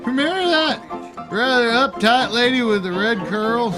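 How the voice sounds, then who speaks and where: A man playing a brash, mocking younger cowboy brother with an American Western drawl and an excitable manner in an audio drama. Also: extremely high pitch, unhurried, over music. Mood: cheeky. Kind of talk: audio drama acting, Old West dialogue.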